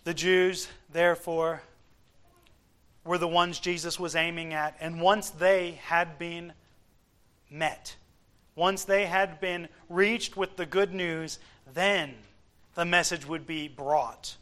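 A man preaching an expository sermon, explaining scripture in deliberate, emphatic short phrases. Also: on the high side, steady tone, a bit bright.

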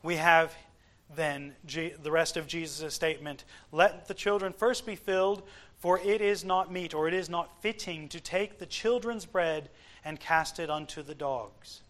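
Guy preaching a bible sermon, kinda high voice, really slow, stresses short phrases. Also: fairly bright tone. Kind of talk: expository sermon with scripture quotation.

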